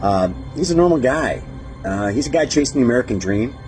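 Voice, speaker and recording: A man, a voice actor, giving a measured promotional talk with small pauses. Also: medium pitch, expressive, a little mellow. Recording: faint music bed underneath.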